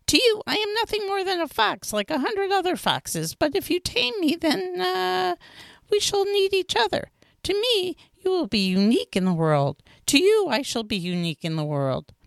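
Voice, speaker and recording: A gentle, lonely male fox from a storybook, as a woman puts him on, pleading to be befriended. The voice sits very high and swoops in a lively sing-song. It sounds close and dry. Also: normal speed, creaky, dry recording, heavily acted. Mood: earnest pleading. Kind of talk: storybook reading.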